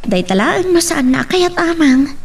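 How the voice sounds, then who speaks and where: A woman as a high-voiced troubled young daughter. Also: big pitch swings, measured pace, gravelly, full character voice. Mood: concerned. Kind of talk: radio drama acting.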